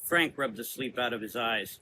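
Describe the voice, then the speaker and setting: A man's voice: mid-range voice, normal speed, pushed and bright, a little hiss or hum. A man narrating a scripted gothic horror story as the narrator of an audio drama.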